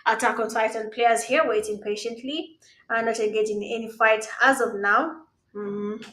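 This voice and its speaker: A Kenyan woman whose first language is Swahili, giving live esports commentary in a conversational, hesitant way with pauses. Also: a little high, a bit bright. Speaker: esports caster. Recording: roomy, echoing sound.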